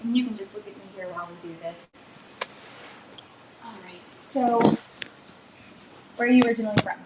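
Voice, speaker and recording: A woman's voice: mid-range voice, moderate pace, heavy background noise. Curious woman TV reporter. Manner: conversational, curious questioning. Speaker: TV news reporter. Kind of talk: TV news interview.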